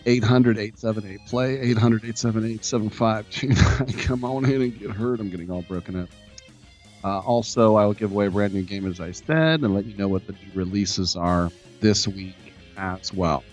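Raspy guy hosting radio, low voice, rambly, bit of music under him. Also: normal speed, darkish tone. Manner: chatty, rambling with fillers. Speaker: radio show host. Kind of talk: live radio talk show.

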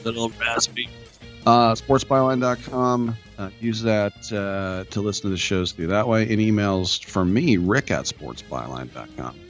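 A man hosting a live radio talk show, chatty and rambling, with a raspy voice today. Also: fairly low pitch, a little slow, soft background music.

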